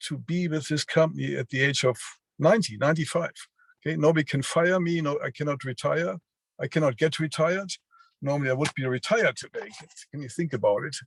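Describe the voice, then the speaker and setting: Older man giving a halting pep talk. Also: mid-range voice, creaky, slightly rough, no echo. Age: 60s. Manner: halting, many fillers and restarts. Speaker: seminar speaker. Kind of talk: motivational business talk. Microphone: video call.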